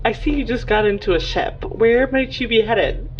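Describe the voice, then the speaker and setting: A woman's voice: a little high, moderate pace, close to an everyday voice. A woman voicing a teasing, nosy spacer in a tabletop game, playful and a little hesitant, on a muffled lo-fi mic. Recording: muffled lo-fi mic with background noise.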